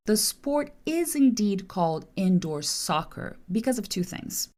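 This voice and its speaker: Lady in her 40s reading her podcast script, kinda low voice that moves around a lot. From Brazil. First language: Portuguese. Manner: reads a prepared script in a measured, explanatory way. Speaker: podcast host, translator.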